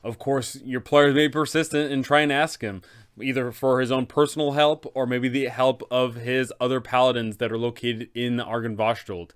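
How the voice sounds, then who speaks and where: A man's voice: mid-range voice, fairly even intonation, normal speed, smooth. A man hosting a game master guide, talking in an enthusiastic, casual way. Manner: enthusiastic, upbeat. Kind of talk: casual tutorial narration.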